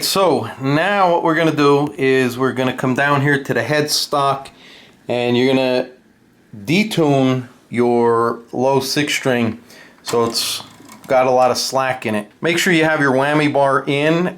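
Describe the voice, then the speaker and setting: A man's voice: medium pitch, fairly bright tone. A man narrating a casual do-it-yourself tutorial in a plainspoken, informal way, explaining things step by step. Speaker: host of a DIY guitar how-to video.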